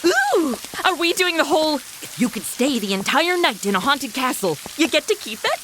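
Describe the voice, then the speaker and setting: Bright, high-pitched American wisecracker. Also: female voice, huge pitch swings, normal speed, a little hiss or hum, exaggerated voice. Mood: excited, teasing. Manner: sarcastic, slangy. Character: flippant, excitable, wisecracking modern young woman sidekick. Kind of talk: comedic audio drama acting.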